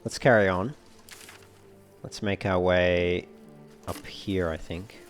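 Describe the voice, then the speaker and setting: A man giving low-key, casual gameplay commentary, musing aloud as he plays. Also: steady tone, slow, music in the background. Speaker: let's play host.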